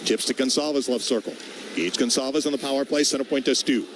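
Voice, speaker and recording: A man does live radio hockey play-by-play in short, clipped bursts that track the puck. His pitch sits in the middle, and clear background noise runs under the broadcast. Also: fairly even intonation. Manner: clipped, rapid-fire phrases following the action. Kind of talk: live sports commentary. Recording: noisy radio broadcast from an arena.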